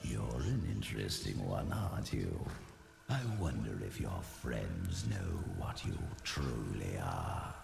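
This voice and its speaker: A man playing a sinister, mocking undead sorcerer in a fantasy game, with a gravelly, whispery voice and slow menace. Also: a bass voice, lively intonation, darkish tone, faint music behind, heavily acted. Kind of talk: dramatic fantasy game acting.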